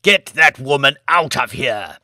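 A man's voice: mid-range voice, expressive, a little slow, pushed and bright, no room sound, clean studio sound. A man voicing a hot-tempered, grandiose old lord in an audiobook, declaiming with pompous melodrama. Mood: furious. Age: older.

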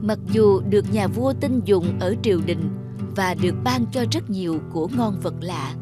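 A woman's voice: medium pitch, normal speed. A woman narrating a children's fairy tale from a script. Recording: music behind the voice. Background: music.

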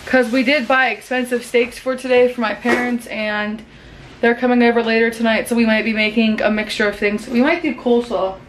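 A woman's voice: on the high side, little pitch movement, fairly quick, a little hiss or hum, rough recording. A young woman from Pennsylvania chatting casually through a grocery haul vlog in her home kitchen, talking to a handheld camera at arm's length. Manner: chatty, bubbly, teasing. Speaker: vlogger.

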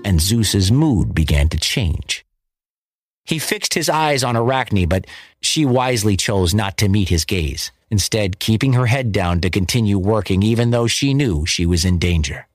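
A man's voice: lowish voice, animated, a little slow, no echo, studio quality. A man telling a myth as a storyteller, in theatrical, emphatic scripted narration.

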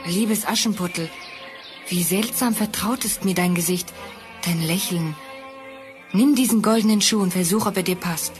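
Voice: mid-range voice, normal speed, music in the background, lightly acted. An actor playing a noble, determined young prince in a fairy-tale audio play, speaking earnestly and formally. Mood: tender, resolute.

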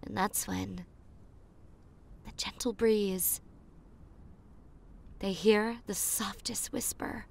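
A woman's voice: mid-range voice, fairly even intonation, very slow, gravelly, long pauses, a little mellow, slight background noise. A woman playing a popular girl telling ghost stories to her secret crush in a teasing, suspenseful ASMR roleplay. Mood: hushed, suspenseful. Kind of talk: roleplay ASMR, hushed dramatic storytelling.

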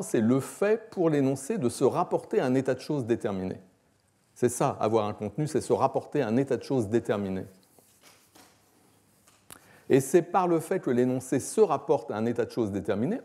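Male academic lecturer, 60s. Relaxed dark timbre, measured explanatory delivery with hesitations. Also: mid-range voice. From France. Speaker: philosophy professor. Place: lecture hall, at a lectern.

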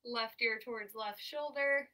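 A young woman talks through stretches with upbeat encouragement and counts each hold down slowly. Her voice is bright and a little high, with a stuffy, congested edge. She is heard from across a small room on a camera mic. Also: far from the mic. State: congested from allergies, breathing a bit heavily. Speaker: occupational therapist leading an exercise class. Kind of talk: guided workout instruction. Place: small room at home, in front of a banner backdrop.

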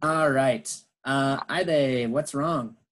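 A man's voice: medium pitch, drawn-out words, fairly bright tone. A man teaching an online English class, patiently explaining in English and Spanish.

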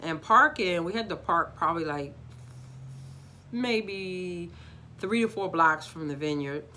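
A woman's voice: fairly low pitch, a little slow, a little hiss or hum. A woman in her forties from Baltimore with a Baltimore accent, chatting casually to viewers in a living-room video review. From Baltimore, USA. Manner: animated, talks with her hands, addresses viewers as guys. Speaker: YouTube vlogger. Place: decorated living room, indoors.

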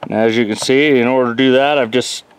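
A man's voice: medium pitch, steady tone, fast, clean tone, low-quality recording. A man casually explaining a DIY job as a vlogger, matter-of-fact and thinking aloud. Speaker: homesteader vlogger. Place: outdoors at a homestead sawmill site.

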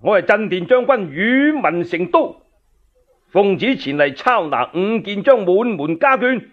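A man with a Cantonese accent voicing an imposing imperial general in a storytelling broadcast, loud and declaiming. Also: high voice, very animated, unhurried, voice pushed up. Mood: commanding. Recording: dull lo-fi radio recording.

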